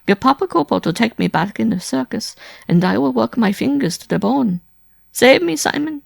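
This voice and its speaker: A man's voice: high voice, lively intonation, moderate pace, vocal fry, dry recording, clean studio sound, pitched above the performer's own voice, heavily acted. A man voicing a frightened, worn-out wife in an audiobook, pleading breathlessly in an overwrought way. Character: desperate frightened wife, worn-out former circus performer. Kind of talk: audiobook character acting.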